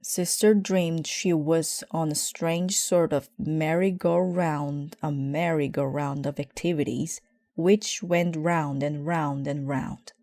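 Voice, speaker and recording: A woman narrates a children's storybook with measured expression. Her voice is low and mellow, unhurried, and recorded very dry. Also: fairly even intonation, no echo. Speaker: storybook reader. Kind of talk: children's story read aloud.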